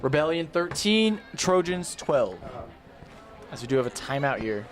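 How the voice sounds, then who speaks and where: A man doing relaxed, analytical color commentary for a livestream from an outdoor stadium press box. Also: fairly high pitch, a little slow. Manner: easygoing, analytical, laughs along. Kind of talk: live sports commentary.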